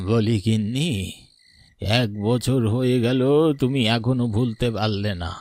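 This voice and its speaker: A man voicing a patient, gently complaining old village husband in a cartoon, mild and coaxing. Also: medium pitch, fairly even intonation, slow, dry recording, close to an everyday voice. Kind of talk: cartoon voice acting.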